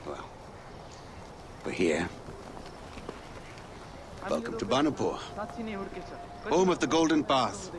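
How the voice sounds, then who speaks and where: An actor playing an earnest male rebel leader in a video game cutscene, intense and brotherly, swinging between hushed and shouted lines. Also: mid-range voice, sing-song, takes it slowly, pauses often, background noise. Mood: firm.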